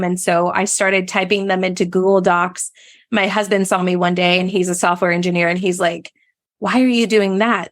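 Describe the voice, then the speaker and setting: A woman's voice: medium pitch, no room sound. A woman speaking as an interview guest, telling personal stories in a conversational way. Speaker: podcast guest, food blogger.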